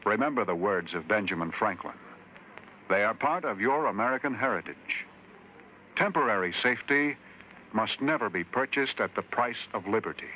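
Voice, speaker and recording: A man's voice: fairly low pitch, animated, normal speed. A man reading a formal, solemn scripted message as a 1950s radio announcer. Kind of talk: 1950s scripted public service narration. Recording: muffled, faintly noisy old radio recording.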